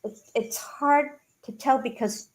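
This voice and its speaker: A woman from New England with a subtle regional accent, chatting hesitantly and laughing softly as she gets a reading. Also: sits high, takes it slowly, rough recording. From New Hampshire and Massachusetts, US. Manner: hesitant, frequent soft laughter. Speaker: guest receiving a reading. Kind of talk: casual chat.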